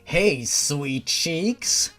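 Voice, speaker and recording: A narrator voicing a smirking, sleazy male killer who flirts pushily in a slow, suggestive way. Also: mid-range voice, expressive, a bit bright, faint music behind, pitched below the performer's own voice. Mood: sleazy flirting.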